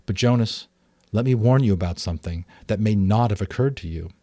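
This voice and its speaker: A man's voice: on the low side, a little fast, no room sound, studio quality, close to an everyday voice. A man voicing a caring, earnest mother in a storybook, explaining things patiently. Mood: gently serious. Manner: patient, explanatory, gently advising.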